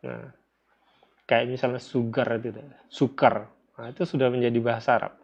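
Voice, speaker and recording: A dull, lo-fi recording of a man with an Indonesian accent giving an informal religious lesson. His pitch is average for a man. He explains things step by step, often repeating a phrase or putting a question to his listeners. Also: flat delivery, moderate pace, gravelly, a little hiss or hum. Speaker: religious teacher. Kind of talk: informal lecture explaining a text. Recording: dull, lo-fi audio.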